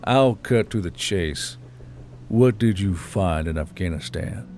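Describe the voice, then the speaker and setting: Man voicing Yul, a low, blunt soldier. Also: lively intonation, slow pace, a little mellow, faint music behind. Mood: probing, blunt.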